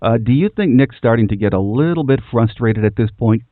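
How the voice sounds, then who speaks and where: A man hosting a sports podcast, talking in a measured, announcer-like way as he presents the show and puts questions to a guest. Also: mid-range voice, expressive, no echo, phone-quality sound. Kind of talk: scripted show introduction, then interview questions.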